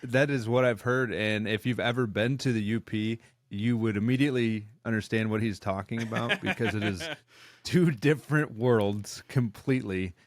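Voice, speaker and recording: A man from Michigan hosting a podcast, asking questions in a relaxed, agreeable way. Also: lowish voice, normal speed, few pauses, no echo, clean studio sound. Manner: easygoing, agreeable interviewer. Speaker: podcast host. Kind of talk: casual interview.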